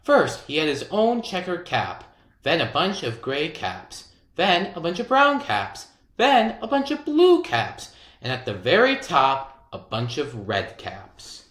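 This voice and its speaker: A storyteller reading a children's picture book aloud, expressively and deliberately, with dramatic emphasis. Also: mid-range voice, huge pitch swings, a bit bright, roomy sound.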